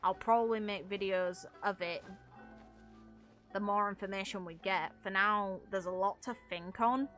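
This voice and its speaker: A woman's voice: medium pitch, steady tone, normal speed, fairly bright tone, soft background music. A young adult woman who streams games, chatting casually and informally with viewers in a rambling way. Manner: informal, rambling, mild swearing. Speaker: game streamer. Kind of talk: casual livestream chat with viewers.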